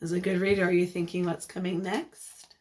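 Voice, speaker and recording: A woman reading a picture book aloud as a teacher, expressive and encouraging, pausing to put questions to young listeners. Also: on the low side.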